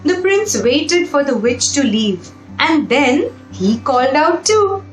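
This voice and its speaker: A woman with a high voice narrates a children's picture book at a slow pace. She pauses for simple, repetitive explanations and puts questions to her young listeners. The sound is roomy and echoing, with music in the background. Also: big pitch swings. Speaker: storybook reader and narrator for children. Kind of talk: read-aloud children's story with explanatory asides.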